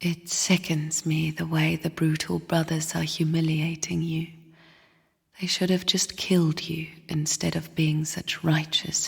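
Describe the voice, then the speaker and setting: A woman voicing a somber, bitter sister spirit in a video game, speaking in a slow, solemn, cryptic half-whisper with a breathy tone. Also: deep voice, heavily acted. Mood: scornful. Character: somber, bitter sister spirit of the void, guarding her chambers. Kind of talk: video game character voice lines. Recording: long cavernous reverb.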